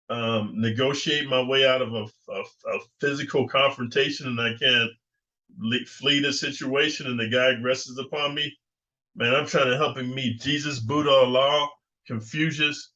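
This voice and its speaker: A man's voice: medium pitch, steady tone, normal speed, sharp tone. An older man hosting his own channel, talking in an assertive, rambling way with lots of fillers and restarts. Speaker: YouTube channel host. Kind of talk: casual commentary monologue. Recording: muffled lo-fi recording.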